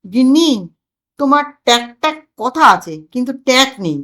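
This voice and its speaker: Lady doing a bossy older family man, high voice, very animated, self-assured schemer from a comedy story. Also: a little fast. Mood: anxious, questioning.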